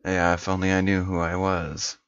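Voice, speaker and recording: A man's voice: low-pitched, completely flat delivery, moderate pace, fairly bright tone, clean tone, no room sound. A man talking casually and improvising in character as a tabletop game player, on a dull-sounding microphone.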